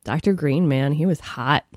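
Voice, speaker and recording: A woman chatting informally as a regular on a group podcast. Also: low voice. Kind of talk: casual chat.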